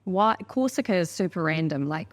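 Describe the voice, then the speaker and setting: A woman with a slightly low voice and a New Zealand accent hosts a casual conversation. She speaks informally and lets her sentences ramble, in the manner of someone swapping anecdotes. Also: lively intonation, moderate pace. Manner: informal, rambling, acts out quoted dialogue. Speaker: podcast host.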